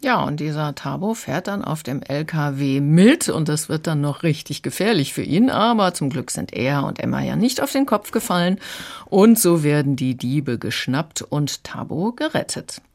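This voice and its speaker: A woman's voice: sits low, very animated, normal speed, darkish tone. A woman reviewing books in a conversational radio discussion style. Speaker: book reviewer.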